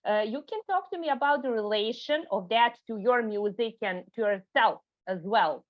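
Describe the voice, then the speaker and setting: Woman, interviewer, slightly high voice, chatty, filler-heavy, muffled lo-fi audio. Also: lively intonation, moderate pace. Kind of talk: interview questions. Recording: dull lo-fi sound.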